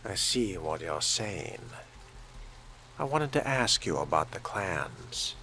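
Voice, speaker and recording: Male role-player for a young vampire: extremely low, crisp bright edge, measured scripted questioning. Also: big pitch swings, a little hiss or hum. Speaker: player reading a fledgling vampire's lines. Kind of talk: scripted role-play dialogue.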